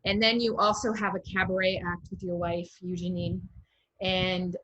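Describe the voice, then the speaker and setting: A woman's voice: lowish voice, flat delivery, moderate pace, fairly bright tone, dull audio. A woman in her forties hosting a casual, conversational interview with a writer. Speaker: host, interviewer.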